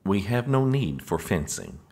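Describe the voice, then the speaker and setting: An actor playing an officious, exasperated American presidential aide in a comedic audio drama, speaking formally and managing others with strained patience. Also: male voice, sits low, big pitch swings, a little slow, darkish tone, full character voice. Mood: politely formal.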